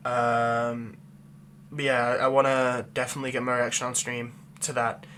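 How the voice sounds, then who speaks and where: A man's voice: medium pitch, completely flat delivery, normal speed, a bit bright. Rambling young man chatting casually. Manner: rambling, full of fillers. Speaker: ASMR roleplay creator. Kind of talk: casual chat to viewers.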